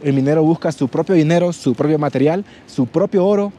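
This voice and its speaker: Dark-voiced Honduran man, travel narration. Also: fairly high pitch, fairly quick. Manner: explanatory, tells viewers what they see. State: short of breath from altitude. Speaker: travel vlogger host. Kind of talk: casual travel narration to camera. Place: outdoors in a high mountain mining town.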